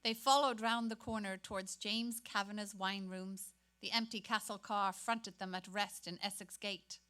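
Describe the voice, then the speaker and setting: A woman's voice: medium pitch, fairly even intonation, normal speed, bright, forceful tone. A woman reading narrative passages of a novel in a staged dramatic reading.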